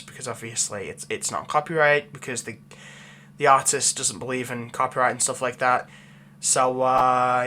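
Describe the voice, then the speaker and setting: A man's voice: mid-range voice, pushed and bright. A man who makes ASMR roleplays, chatting casually with his viewers, rambling with lots of fillers.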